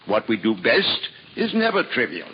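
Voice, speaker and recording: A dry-witted, scholarly monk in a 1950s radio play. His husky voice moves with lively pitch. The old broadcast sounds dull, with a long reverb trailing after him. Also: moderate pace, heavily acted. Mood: wry. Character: witty learned male monk, Father Elias. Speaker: priest. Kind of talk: 1950s radio drama acting.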